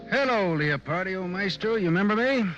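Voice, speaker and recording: An American actor playing a wisecracking hard-boiled private investigator in an old radio detective drama, wry and clipped, on a muffled vintage recording. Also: male voice, high voice, expressive, fairly quick. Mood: taunting. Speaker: lead actor and narrator. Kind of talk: old-time radio detective drama, first-person narration.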